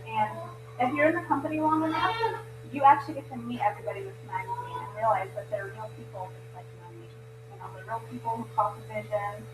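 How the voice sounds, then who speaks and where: A woman's voice: medium pitch, fast. A woman giving an informal training talk to a group, plain-spoken and encouraging. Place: room with a group present. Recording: roomy, distant mic, clear background noise.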